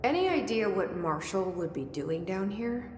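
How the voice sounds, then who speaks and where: An actress playing a determined, guarded video game heroine who speaks in short, deadpan lines. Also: female voice, medium pitch, normal speed, close to an everyday voice. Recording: echoey hall with faint noise.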